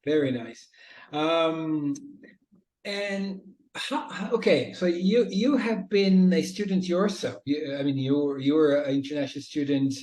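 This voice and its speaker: A man's voice: on the high side, measured pace, a little mellow, roomy sound, low-quality recording. A man hosting an interview, encouraging his guest with frequent murmurs of agreement and follow-up questions. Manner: encouraging, frequent backchannel "mm-hmm". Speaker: interview host. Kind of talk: casual video-call interview.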